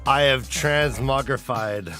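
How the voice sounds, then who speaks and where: A man co-hosting a book podcast, easygoing and playful, with a medium-pitched voice. He talks at a slow, unhurried pace, and faint music sits under the chat. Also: sharp tone. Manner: playful, jokey. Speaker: podcast co-host.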